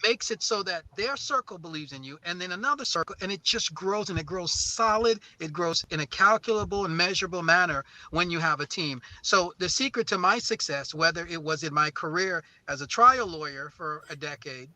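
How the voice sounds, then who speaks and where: A man's voice: sits high, expressive, fairly quick, few pauses, sharp tone, no room sound, poor audio. A man from St. Croix and New Orleans talking as an interview guest, telling stories in an earnest, motivational way and repeating phrases for emphasis. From born in St. Croix, raised in New Orleans. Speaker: guest, recording artist and label co-founder. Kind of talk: conversational interview, storytelling.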